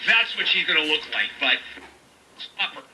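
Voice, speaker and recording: A man's voice: on the high side, very animated, moderate pace, pushed and bright, far from the mic, a little hiss or hum, low-quality recording. A man in casual broadcast banter, heard as playback from a radio speaker.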